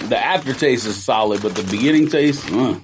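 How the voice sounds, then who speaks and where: A man's voice: mid-range voice, a little fast, noisy background. Joking man with a mid-range voice. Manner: jokey, playful, laughs at his own jokes. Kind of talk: casual chat, snack tasting.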